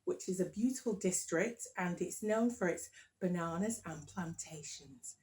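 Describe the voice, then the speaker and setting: A woman's voice: fairly low pitch, animated, moderate pace, darkish tone. A woman from England hosting a podcast, bubbly and encouraging, prompting her guest with tag questions. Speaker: podcast host. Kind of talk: casual family interview.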